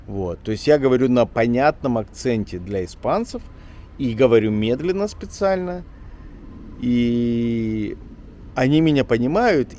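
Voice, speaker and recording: A man talking casually as a journalist vlogger on a walk through an old town street, rambling and chatty, often pausing on filler words. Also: medium pitch, a little mellow. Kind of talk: casual walking vlog chat.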